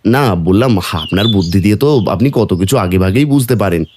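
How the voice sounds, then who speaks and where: A lowish, fast male cartoon voice, acted by a man, as a hardworking village dad. He sounds earnest and careworn, a little wry. Clear noise runs behind him. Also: lively intonation, lightly acted. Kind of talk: Bengali moral-story cartoon dubbing.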